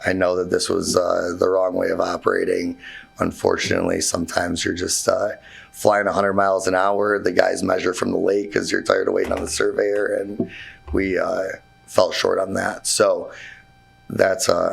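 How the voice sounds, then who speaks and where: A man with a hoarse, worn-out voice presenting his building requests to a township board, talking in a halting way with many fillers and restarts. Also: deep voice, steady tone. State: hoarse, voice nearly gone. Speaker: contractor presenting to a zoning board. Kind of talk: informal presentation at a public meeting. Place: township meeting room.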